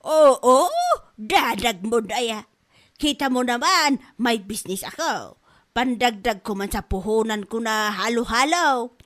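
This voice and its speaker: A woman acts a sassy, vain mother in a comedy drama. Her voice is high and bright, with an unhurried, drawn-out, complaining delivery. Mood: reassuring. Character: vain, sassy Ilocano mother, struggling halo-halo vendor. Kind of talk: Filipino comedy audio drama.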